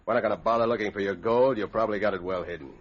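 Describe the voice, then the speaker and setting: A ruthless outlaw leader from a radio adventure. He speaks low and rough-edged, bossing people with gloating menace. The old recording is muffled. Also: rapid-fire. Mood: gloating, hard. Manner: bossy, curt, menacing.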